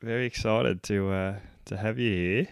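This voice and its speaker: A man with an Australian accent hosting a casual music podcast, upbeat and quick to laugh. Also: on the low side, creaky, clear, resonant tone, no room sound, clean studio sound. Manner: upbeat, laughs easily, many fillers. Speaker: podcast host.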